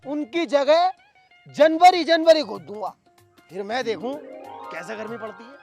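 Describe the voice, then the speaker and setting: A man's voice: extremely high pitch, unhurried, darkish tone. A man playing a boastful, hot-tempered village election candidate in a theatrical comedy sketch. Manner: bombastic, theatrical, quarrelsome. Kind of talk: broad comedy sketch acting. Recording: background music under dialogue.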